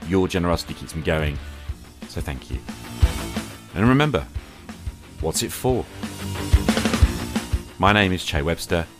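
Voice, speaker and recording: A man's voice: low-pitched, expressive, measured pace, pauses often, loud music behind the voice. A man hosting a podcast, talking conversationally in an earnest, reflective manner. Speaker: podcast host.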